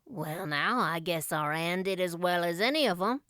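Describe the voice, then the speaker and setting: A woman voicing a gentle, plain-spoken older countryman in an audiobook, with a homely, folksy manner. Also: on the low side, moderate pace, no echo, clean studio sound, close to an everyday voice. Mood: proud. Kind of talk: audiobook character voice.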